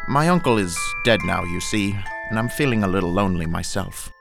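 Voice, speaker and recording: A man's voice: low voice, animated, a little fast, gravelly, music in the background. An actor playing a pompous, grandiose male academic who steals books, lecturing and rambling in an audio drama. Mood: defensive.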